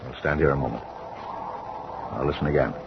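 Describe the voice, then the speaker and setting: An actor playing a brusque, commanding middle-aged ship's captain in a 1940s radio drama. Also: male voice, a bass voice, steady tone, unhurried. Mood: tense. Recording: dull noisy old radio recording.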